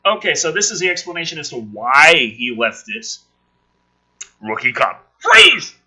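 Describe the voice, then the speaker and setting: A man reading a film script aloud and adding casual, sardonic, profane commentary in an animated way. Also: medium pitch, normal speed, husky.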